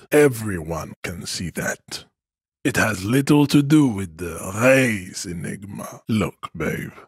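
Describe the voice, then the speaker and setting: A male actor voicing a haughty, contemptuous strongman ideologue in a video game, declaiming in a pompous, condescending way with a husky voice. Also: medium pitch, no room sound, clean studio sound. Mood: contemptuous, lofty, then disdainful, lecturing. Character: haughty, towering male race-theorist strongman, contemptuous and grandiose. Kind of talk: scripted video game character dialogue.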